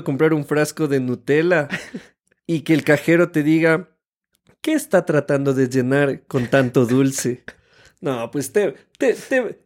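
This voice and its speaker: A man's voice: a little high, a little mellow. A man in his thirties with an Ecuadorian Spanish accent, co-hosting a conversational podcast. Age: 30s. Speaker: podcast co-host.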